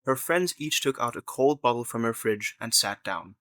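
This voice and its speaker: A man's voice: medium pitch, lively intonation, moderate pace, bright, forceful tone, no room sound. A man narrating a scripted story in the third person as the narrator of an audio drama.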